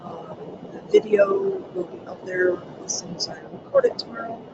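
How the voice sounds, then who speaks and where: Soft-spoken webinar presenter, very lively pitch. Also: gravelly, dull audio. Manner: soft voice that does not carry, halting with many ums. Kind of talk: online training webinar. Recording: loud background noise on a webinar mic.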